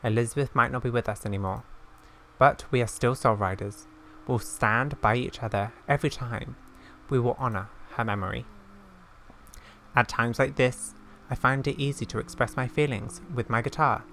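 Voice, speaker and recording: A woman's voice: rumbling low, fairly even intonation, normal speed, a bit bright. Grieving young rider, read by a woman, noisy backdrop. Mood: resolved. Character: grieving young female rider, stoic and loyal. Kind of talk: reading game dialogue aloud. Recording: clear background noise with game ambience.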